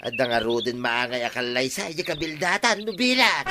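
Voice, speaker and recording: A man with an Ilocano accent playing a teasing, excitable village man in a radio comedy drama, full of exclamations and banter. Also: mid-range voice, moderate pace, lightly acted.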